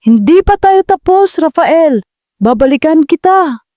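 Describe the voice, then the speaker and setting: A woman's voice: very high voice, expressive, measured pace, mellow, no room sound, studio quality, pitched above the performer's own voice. A woman playing a threatening, mocking male corporate villain in an audio drama. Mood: menacing. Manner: sneering, menacing. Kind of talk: Tagalog audio drama acting.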